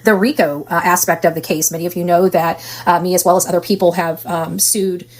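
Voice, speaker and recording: A woman's voice: lowish voice, fairly even intonation, a little fast, rough recording. Rambling, opinionated woman commentator. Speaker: online commentary channel host. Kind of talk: casual true-crime case commentary livestream.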